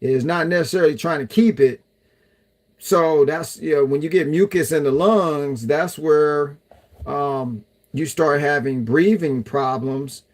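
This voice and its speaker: A man's voice: on the high side, unhurried. Casual male streamer, answering chat in a rambling way. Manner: chatty, loose, talks to viewers as y'all. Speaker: livestream host reading chat.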